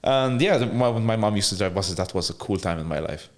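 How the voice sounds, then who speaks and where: Chill guy on a podcast, lowish voice, rambles and restarts a lot, laughs easy. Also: lively intonation, fast talker. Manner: laid-back, rambling with restarts, laughs easily. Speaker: podcast guest. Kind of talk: casual interview storytelling.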